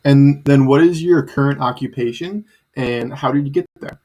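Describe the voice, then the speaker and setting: A man interviewing as a student, polite and filling pauses with many ums and uhs. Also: medium pitch, warm tone, a little hiss or hum, poor audio. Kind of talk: scripted interview questions over a call.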